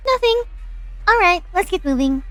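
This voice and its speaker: Actress as young female game-drama lab director, high-pitched, flippant, sarcastic, expressive, anxious underneath. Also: normal speed, no echo. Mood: breezy. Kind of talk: fan-dub game drama acting.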